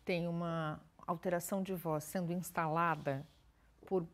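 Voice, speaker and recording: A woman speaks at a steady, measured pace, explaining voice care as a speech therapist. She keeps to plain, practical examples and a calm teaching tone. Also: lowish voice. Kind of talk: explanatory interview answers.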